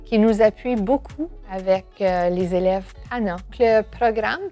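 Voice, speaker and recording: A woman from northern Ontario who is a high school principal, speaking in a composed way in an interview. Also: unhurried, mellow, faint music behind. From Sudbury, Ontario. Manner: proud, composed. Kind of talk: interview testimony.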